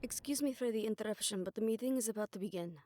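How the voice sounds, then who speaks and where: A woman plays an arbitrator overseeing a vampire council. Her voice sits at a middle pitch. She is composed, polite and formal, in the manner of an audio drama. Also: racing, background noise, lightly acted. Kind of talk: audio roleplay drama acting.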